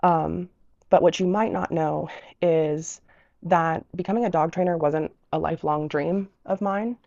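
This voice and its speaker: A young woman with a very low, gravelly voice, nasal and stuffy from crying and a cold. She tells her story emotionally, with fillers and restarts. The recording is dry, with a slightly muffled tone. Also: steady tone, unhurried, mellow, no room sound. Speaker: dog trainer and business owner. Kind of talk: emotional personal vlog storytelling.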